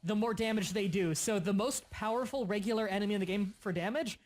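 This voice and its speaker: A young man hosting a casual gaming livestream, explaining game mechanics in quick bursts and sometimes stuttering. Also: high voice. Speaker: livestream host. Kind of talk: casual gaming stream chat.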